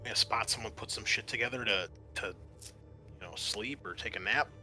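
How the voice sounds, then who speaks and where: A man working as game master, improvising halting, descriptive narration for a tabletop sci-fi horror game. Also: lowish voice, bright, forceful tone, faint music behind.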